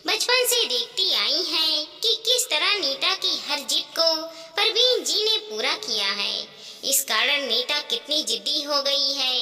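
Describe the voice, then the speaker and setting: A woman's voice: high-pitched, bright, forceful tone. A woman reading a scripted moral story as its narrator. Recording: long hall-like reverb.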